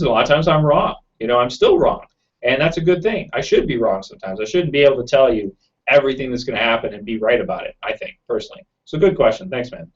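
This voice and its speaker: A man talks fast and loosely from home, trailing into fillers as he answers viewers' questions. His voice sits at an average pitch and sounds a little raw. The audio is dull and lo-fi, like a home computer mic on a live stream. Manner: fast-talking, rambling with many fillers. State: slightly raw throat. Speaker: YouTube channel host.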